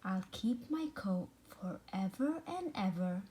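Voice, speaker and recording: A woman's voice: medium pitch, animated, takes it slowly, mellow, slight background noise. A woman voicing a vain, sneaky male sheep in a storybook, with a self-admiring, sing-song delivery. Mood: vain, delighted. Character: vain, sneaky male sheep proud of his fluffy wool.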